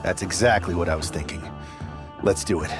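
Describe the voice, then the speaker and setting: A man's voice: low-pitched, brisk, close to an everyday voice. A man playing a determined wrestler in a video game cutscene, speaking in an emphatic, resolute way. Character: determined male wrestler leading a fightback. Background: music.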